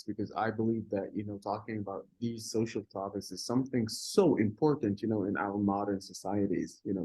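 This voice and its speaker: A man interviewing a guest for a film festival in an informal, halting way, searching for words. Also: on the low side, darkish tone, rough recording.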